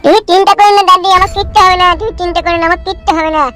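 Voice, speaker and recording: Woman playing a plucky, squeaky little boy. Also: fairly even intonation, rapid-fire. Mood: reassuring. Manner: bold, eager. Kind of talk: cartoon melodrama. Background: music.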